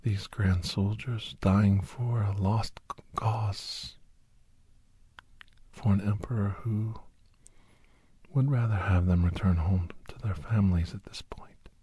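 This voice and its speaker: A man playing a weary, wry doctor telling his adventures in a hushed, deadpan ASMR style with a husky, breathy half-whisper. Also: fairly low pitch, slow, exaggerated voice. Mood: somber, reflective. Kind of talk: ASMR scripted storytelling. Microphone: close, dry microphone.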